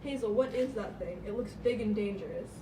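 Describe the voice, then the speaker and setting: A woman's voice: medium pitch, fairly quick, dark tone, close to an everyday voice. A woman voicing a small, weary, anxious but insistent male rabbit in an amateur puppet show. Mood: worried. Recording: echoey, noisy room, far from mic.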